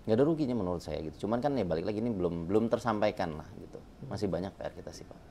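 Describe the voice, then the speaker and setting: A man's voice: sits low, animated, racing, creaky, mellow, slight background noise. A man talking as a guest about bank appraisal work, casual and jokey with many fillers. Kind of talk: casual panel talk.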